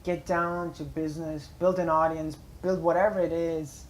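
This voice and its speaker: A man's voice: on the high side, flat delivery, normal speed, a little hiss or hum, poor audio. A man with a German accent gives an earnest, rambling motivational talk as a vlogger, with many filler words, speaking from a public library space.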